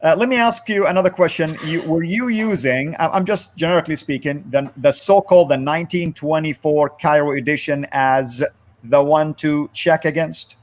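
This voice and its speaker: A man hosting a moderated religious discussion, speaking in halting, deliberate phrases. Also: on the high side. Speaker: livestream host.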